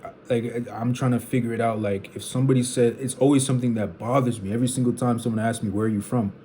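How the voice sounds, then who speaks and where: A man's voice: medium pitch, steady tone, fast, mellow, slight background noise. A man, rambling through unfiltered thoughts as a vlogger, often restarting his sentences. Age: 20s. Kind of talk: unfiltered thinking out loud.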